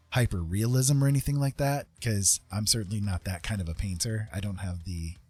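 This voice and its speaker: Husky guy on an art stream, lowish voice, super casual and kinda self-deprecating, bit of guitar behind him. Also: no echo. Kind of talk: casual live-stream painting tutorial. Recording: soft guitar music behind voice. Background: guitar music.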